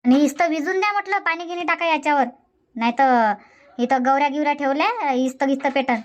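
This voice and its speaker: In a dubbed cartoon comedy, a nagging old village grandmother, voiced by a woman, bosses her husband around. She speaks with a Varhadi Marathi accent and answers back fast. Her voice sits high and light. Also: muffled recording, natural voice. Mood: teasing, grumbling. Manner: nagging, quick comebacks.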